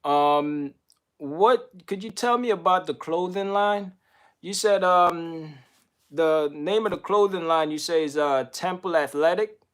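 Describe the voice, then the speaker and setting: A man hosting a casual radio interview, asking questions in a halting way with many restarts and fillers. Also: fairly high pitch, a bit bright, smooth. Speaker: radio show host.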